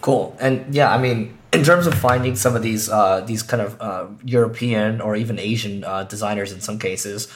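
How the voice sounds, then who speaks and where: A man co-hosting a business podcast, hoarse and raspy after losing his voice, talking loosely with lots of ums and uhs. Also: on the low side, normal speed, few pauses, slight background noise. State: hoarse from a lost voice. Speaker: podcast co-host. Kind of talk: casual business podcast chat.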